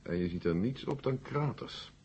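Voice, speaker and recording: An actor playing a formal, pompous senior general who is skeptical and dismissive, in a radio drama. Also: male voice, lowish voice, lively intonation, moderate pace, a little mellow, slightly rough. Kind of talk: 1970 Dutch radio drama acting. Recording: muffled old radio drama tape.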